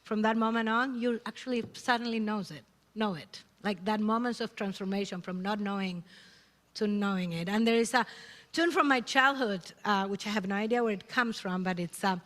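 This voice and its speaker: A woman from Chile, leading a Shabbat service, speaking in a halting, searching way and singing Hebrew verses. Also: mid-range voice, a bit bright. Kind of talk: Shabbat service sermon with chanting. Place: synagogue sanctuary. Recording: long reverb of a sanctuary.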